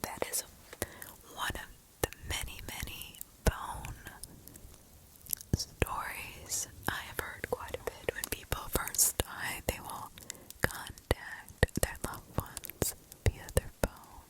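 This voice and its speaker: A woman reads scary stories in a hushed, breathy ASMR whisper with deliberate pauses, close to the microphone. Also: poor audio. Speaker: ASMR host. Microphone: close ASMR microphone, ear to ear.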